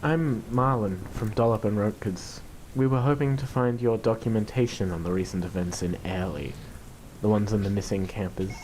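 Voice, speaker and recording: A man's voice: fairly low pitch, moderate pace, a little mellow, a little hiss or hum, lightly acted, low-quality recording. A man acting as an anxious, defensive corporate city man in an audio drama, officious and pleading by turns. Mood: nervous, pleading.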